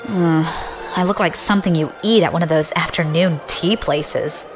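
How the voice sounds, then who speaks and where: A woman's voice: lowish voice, moderate pace, over music, close to an everyday voice, low-quality recording. A woman playing a defiant, ambitious young adventurer in an audio drama, wry and guarded in manner.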